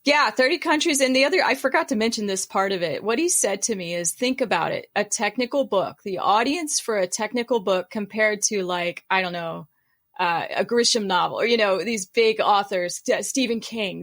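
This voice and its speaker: A woman's voice: medium pitch, moderate pace, a bit bright. Chatty woman on a podcast. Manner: chatty, retells advice with quoted asides. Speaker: co-author guest. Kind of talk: casual podcast conversation.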